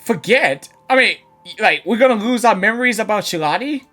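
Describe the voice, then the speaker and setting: A woman game streamer giving casual, rambling, excitable live reactions. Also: medium pitch, a little fast. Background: game music.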